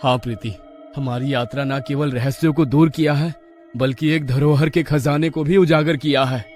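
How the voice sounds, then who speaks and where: A man playing a determined young adventurer in a scripted audio story, earnest and deliberate. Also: medium pitch, fairly even intonation, darkish tone, soft background music, natural voice. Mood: triumphant.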